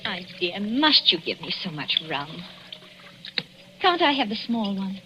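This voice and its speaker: A nervous young English wife. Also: female voice, mid-range voice, sing-song, unhurried. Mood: reluctant. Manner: anxious, reluctant, clipped replies. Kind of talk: 1940s radio drama acting. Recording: dull lo-fi 1940s radio broadcast with faint hiss.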